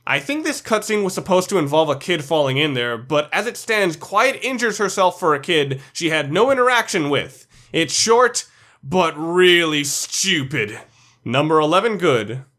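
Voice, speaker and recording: A man narrates a scripted countdown with a slightly high voice. His delivery is blunt and opinionated, like someone laying out a personal ranking. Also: pushed and bright. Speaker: narrator of a video game ranking list.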